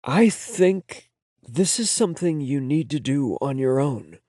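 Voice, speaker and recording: A gentle, doting boyfriend with a soft, reassuring voice, as a male narrator plays him. His lines lift a little above the narration, with a lively swing. The sound is close and dry. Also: on the high side, measured pace, no echo, voice pushed up. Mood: tender. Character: gentle, indulgent young boyfriend.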